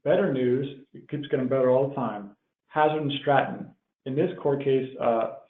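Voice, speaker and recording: A man presenting a technical webinar in a measured, explanatory way, as if working through prepared points. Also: medium pitch. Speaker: webinar presenter, tax professional. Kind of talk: explanatory tax webinar lecture. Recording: tinny phone-like line, roomy echo.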